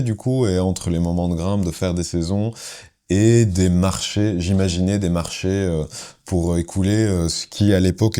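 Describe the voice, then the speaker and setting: A Belgian man in his thirties speaks with a Belgian French accent. His voice is low and very dark and mellow. He talks thoughtfully in an interview, pausing and restarting as he goes. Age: 30s. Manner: hesitant, many fillers and restarts, thoughtful. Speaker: carpenter, interview subject. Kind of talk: casual interview talk.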